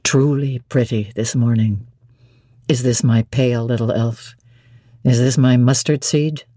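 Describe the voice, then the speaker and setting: A woman voicing a middle-aged gentleman lover in a classic novel, tender, teasing and commanding. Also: a bass voice, fairly even intonation, unhurried, no room sound, clean studio sound, pitched below the performer's own voice. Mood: doting. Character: deep-voiced adoring masterful gentleman lover, middle-aged. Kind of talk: dramatic classic novel reading.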